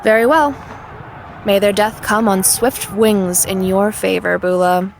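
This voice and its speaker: A woman plays a sinister, disdainful sorceress in a fantasy audio drama. She speaks slowly and formally with a gravelly creak and a condescending edge. The recording has clear noise behind her. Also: mid-range voice, fairly even intonation. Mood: solemn. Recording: clearly noisy background.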